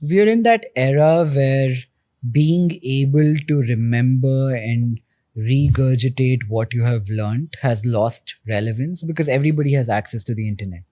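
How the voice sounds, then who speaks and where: A man in his thirties with an Indian accent, a podcast guest explaining things in a measured way with frequent pauses. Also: medium pitch, dark tone, dry recording. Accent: Indian English. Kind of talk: podcast interview.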